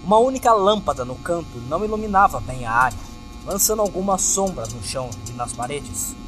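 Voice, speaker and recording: A man's voice: a little high, expressive, brisk, a bit bright. A man with a Brazilian accent reading a scripted first-person horror story with dramatic delivery, as narrator. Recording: background music under the voice. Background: music.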